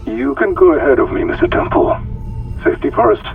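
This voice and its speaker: Game villain: a smug, falsely polite evil doctor, highish swooping delivery, dull log recording over music. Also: male voice, very animated, a little fast. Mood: smug menace. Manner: mock-courteous, menacing. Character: sinister, smugly polite male mad doctor villain. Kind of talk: video game audio log acting. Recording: lo-fi audio log.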